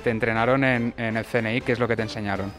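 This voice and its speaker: A man's voice: mid-range voice, fairly even intonation, quick pace, fairly bright tone, soft background music. A young man hosting a mock-serious street interview, asking absurd questions with a straight face. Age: young adult. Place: outdoor town streets. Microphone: handheld furry mic.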